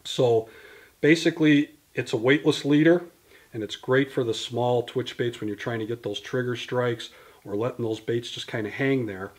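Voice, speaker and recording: A man's voice: medium pitch, fairly even intonation, moderate pace, husky. Man, fifties, tackle company guest; detailed, explanatory delivery, filmed on a camera mic in a small indoor studio. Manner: detailed, explanatory, gesturing with products in hand. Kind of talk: product explanation interview. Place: indoor studio in front of a banner.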